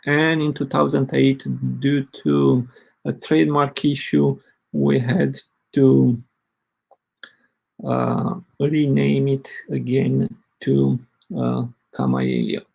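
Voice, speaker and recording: Brief: man in his forties from Romania, husky and halting, presenting at a tech conference. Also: mid-range voice, darkish tone, muffled recording. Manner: hesitant, many fillers. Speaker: open source project lead presenting. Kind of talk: conference talk.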